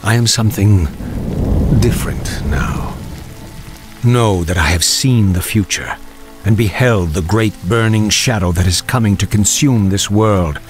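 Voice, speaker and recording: An actor playing a solemn old prophet in a fantasy game, speaking in a grave, portentous, measured way. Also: male voice, fairly low pitch, over music, close to an everyday voice. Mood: solemn, urgent. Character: mysterious old male prophet, solemn and foreboding. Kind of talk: dramatic fantasy game voice acting.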